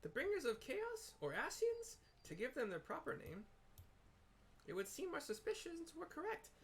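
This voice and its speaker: A man plays a small, secretive scholar mage from a fantasy game, pitched very high. The delivery is pompous and precise, curt and dismissive with others. Some noise sits in the recording. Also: huge pitch swings, moderate pace, heavily acted. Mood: grave, lecturing.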